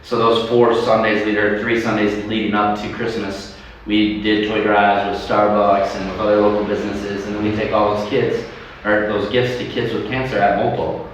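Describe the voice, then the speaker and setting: A man with a somewhat low voice speaks in an animated way, laughing and restarting his sentences as a livestream guest. He sits back from the microphone, so his voice sounds distant. A strong room echo and faint noise sit behind him. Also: normal speed. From United States. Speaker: sports club leader and guest. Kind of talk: livestream interview.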